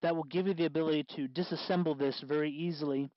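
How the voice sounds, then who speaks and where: A man hosting a casual hands-on DIY tutorial, explaining in a halting, unscripted way, with slightly muffled sound. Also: a little high, steady tone, dry recording. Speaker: host of DIY electronics tutorial.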